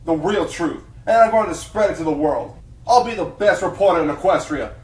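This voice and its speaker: Man acting high-voiced, idealistic young pony reporter. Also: a little fast, recorded from a distance, close to an everyday voice. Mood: defiant. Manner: dramatic, fervent. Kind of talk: radio play acting. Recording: echoey room, off-mic.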